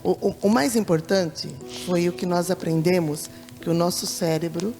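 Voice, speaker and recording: A Brazilian woman speaking with a Brazilian Portuguese accent in a church talk-show conversation, thoughtful and halting, often repeating words as she searches for them. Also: on the low side, warm tone, big-room sound, soft background music. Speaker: deaconess.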